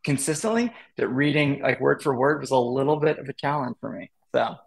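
A man in his thirties with a gravelly, creaky edge to his voice, chatting on a video call. He rambles through his points with plenty of fillers and self-corrections, like a teacher talking off the cuff. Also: mid-range voice, fairly quick, low-quality recording. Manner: rambling, many fillers and restarts. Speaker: marketing educator, guest. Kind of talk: casual interview chat.

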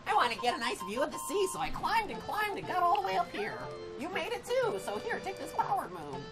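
A man's voice: very high voice, very animated, a little fast, pitched above the performer's own voice. A man voicing a small, chipper mushroom explorer from a video game, bubbly and eager to help. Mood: cheerful. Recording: game music behind the voice.

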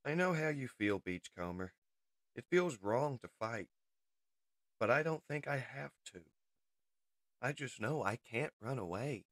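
Man acting an earnest, higher-pitched young guy. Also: animated, unhurried, long pauses, fairly bright tone, no echo, clean studio sound. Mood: quiet resolve. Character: troubled, earnest young human male.

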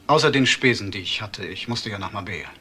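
An actor playing a hired schemer in a crime drama, explaining himself haltingly under police questioning. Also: male voice, lowish voice, heavy background noise, natural voice. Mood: defensive.

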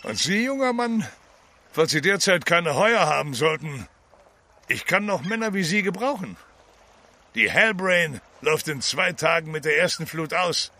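An actor playing an eerie, commanding sea captain who speaks deliberately in an audio drama. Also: male voice, on the high side, lively intonation, pushed and bright, full character voice. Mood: ominous, commanding.

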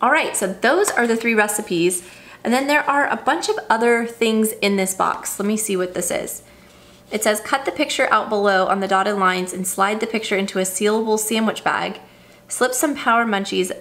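A woman's voice: mid-range voice, normal speed, fairly bright tone. A woman in her thirties chatting through an unboxing in her home kitchen, upbeat and gushing over each item. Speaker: vlogger. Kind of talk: casual unboxing chat.

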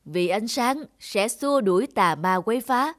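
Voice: mid-range voice, animated, measured pace, fairly bright tone, no echo, studio quality. An actor playing a stern, imperious old male sun god in a cartoon fairy tale. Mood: grave.

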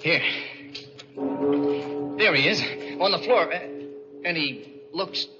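An actor playing an excitable gas station man in a 1940s radio drama. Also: a little high, slow. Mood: excited, shocked. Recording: muffled 1940s radio recording with loud music.

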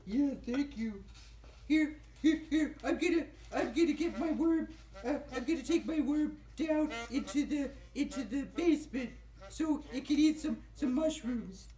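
A man voicing a gentle, friendly, easily flustered zombie in a children's puppet show. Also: extremely high pitch, a little slow, reverberant, pitched above the performer's own voice.

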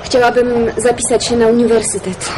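A woman's voice: a little high, flat delivery, a little fast, background noise, lightly acted. An actress playing a shy young woman in a radio drama, hesitant and modest in manner.

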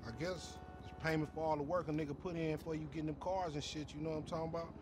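An actor playing a brash, sarcastic young American street gangster in a video game, taunting and slangy with mock politeness. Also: male voice, fairly high pitch, steady tone, very fast, over music, natural voice. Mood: needling, joking. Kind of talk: video game cutscene acting. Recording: game street ambience, traffic noise behind.

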